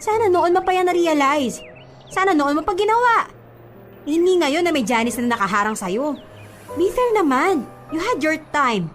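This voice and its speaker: Sassy young Filipina friend, radio drama, high-pitched, wildly animated, blunt, nagging, sarcastic, faint music behind. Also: female voice, moderate pace. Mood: mocking, frank. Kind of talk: radio drama acting in Taglish.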